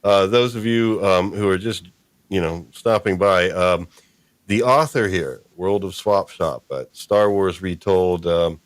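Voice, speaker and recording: A man's voice: deep voice, measured pace, dry recording. A man hosting a casual livestream chat, talking informally to his guest and viewers. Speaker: stream host.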